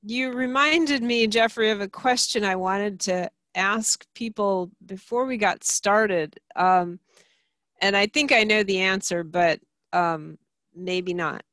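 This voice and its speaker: A woman leading a group video call, asking people questions and pausing with many fillers. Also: medium pitch, a bit bright, no echo. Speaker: group facilitator.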